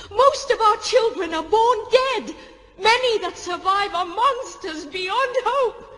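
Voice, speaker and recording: Young noblewoman, very high voice, slow formal delivery, defiant, audio drama, long reverb. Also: fairly bright tone, heavily acted. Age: young adult. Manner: formal, impassioned. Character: grieving, defiant young noblewoman, urgent and earnest.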